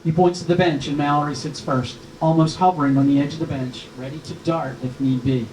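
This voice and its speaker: A man's voice: on the high side, fairly even intonation, fairly quick, a little mellow. A man reading stage directions as scripted narration for a screenplay. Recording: roomy, echoing, clearly noisy recording.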